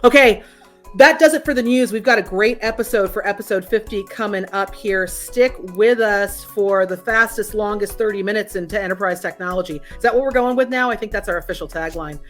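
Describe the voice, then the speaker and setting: A woman's voice: medium pitch, brisk, soft background music. A woman co-hosting a tech news show, chatting casually and animatedly with plenty of fillers. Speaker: co-host. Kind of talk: casual talk-show chat.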